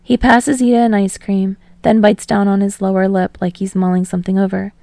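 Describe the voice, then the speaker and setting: A woman narrates scripted fiction as an audiobook reader. Her voice has audible creak and sits at a medium pitch, recorded very dry. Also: little pitch movement, fairly quick, warm tone, dry recording.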